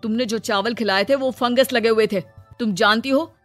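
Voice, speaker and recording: A woman's voice: on the high side, normal speed, faint music behind, natural voice. Woman playing an exasperated scolding husband. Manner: complaining, reproachful. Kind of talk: Hindi cartoon moral story acting.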